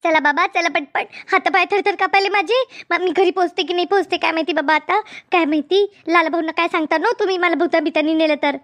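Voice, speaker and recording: A woman voicing an excitable, easily frightened village woman in a cartoon comedy, with a rural Maharashtrian accent and a chattery, repetitive way of talking. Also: extremely high pitch, fast talker, hardly any pauses. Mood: flustered. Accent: rural Maharashtrian Marathi.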